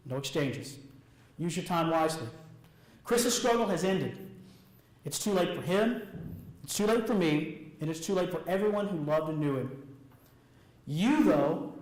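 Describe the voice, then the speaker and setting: Man, slightly high-pitched voice, public speech, earnest, deliberate pauses, emphatic short phrases, roomy echo. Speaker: public speaker. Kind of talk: prepared motivational speech to a live audience. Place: indoor room before an audience.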